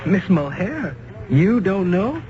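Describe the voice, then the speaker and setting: A cocky, flirty playboy. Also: male voice, high voice, big pitch swings, takes it slowly, heavily acted. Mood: teasing. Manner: teasing, glib, laughing. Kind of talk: 1970s radio drama acting. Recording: lo-fi vintage radio recording with clear hiss.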